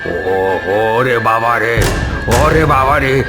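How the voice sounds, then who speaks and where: A man playing a timid, easily frightened gentleman in a horror cartoon, with a theatrical delivery. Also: medium pitch, expressive, very slow, loud music behind the voice. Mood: terrified. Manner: puzzled, stammering, theatrical. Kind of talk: cartoon horror story acting.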